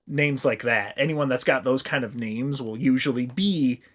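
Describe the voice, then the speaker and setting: A man's voice: mid-range voice, normal speed. An American man from the Midwest co-hosting a podcast in casual comic chat, rambling through stories with crude, loose wording. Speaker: podcast co-host.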